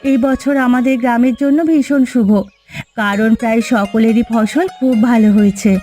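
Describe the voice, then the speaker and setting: A woman voicing a contented, good-hearted farmer's wife in a cartoon fairy tale, earnest and gentle. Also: on the high side, steady tone, moderate pace, soft background music, lightly acted. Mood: contented, hopeful.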